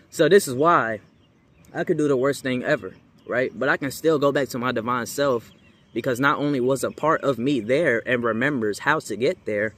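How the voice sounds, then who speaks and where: A man's voice: medium pitch, fairly bright tone. Rambling young American man, noisy recording. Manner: slangy, rambling, emphatic repetition. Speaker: spiritual video creator. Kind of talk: casual unscripted monologue to viewers. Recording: clearly noisy background.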